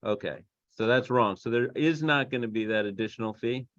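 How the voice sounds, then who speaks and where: A man's voice: medium pitch, fairly quick, fairly bright tone, no room sound, muffled recording. A man speaking as an investor on a business conference call, phrasing things hesitantly and piece by piece. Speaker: investor asking questions.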